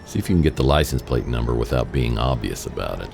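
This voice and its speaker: A man's voice: a bass voice, lively intonation, fast, creaky, a little mellow, in a large hall, a little hiss or hum, full character voice. An actor playing a wry, hard-boiled private investigator who narrates his case in a laconic noir radio drama style. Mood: wry, watchful.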